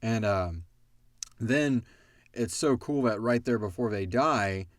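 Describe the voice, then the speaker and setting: Male podcast host, lower register, close dry sound, informal and animated storytelling. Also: slow pace, dry recording. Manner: animated retelling, acting out characters' lines. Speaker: podcast host and audio drama creator. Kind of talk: casual chat answering listener questions.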